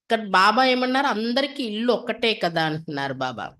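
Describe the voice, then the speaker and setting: Female spiritual teacher, mid-pitched voice. Reads scripture and explains it step by step, repeating and questioning. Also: animated, brisk, a bit bright. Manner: instructive, repeats and paraphrases, with rhetorical questions. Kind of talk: religious discourse, reading aloud then translating and explaining.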